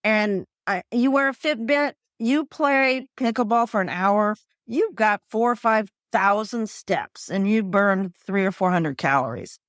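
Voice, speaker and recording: A woman in her fifties, a pickleball coach and author, telling animated stories as a podcast guest and laughing often. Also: mid-range voice, measured pace, few pauses, no echo, clean studio sound. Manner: animated storytelling, laughs often, acts out her own quotes.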